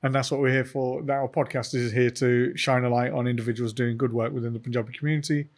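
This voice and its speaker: A man hosting a casual podcast interview. He is jokey and informal, filling pauses with ums, and has a middle-pitched voice. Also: little pitch movement. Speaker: podcast host.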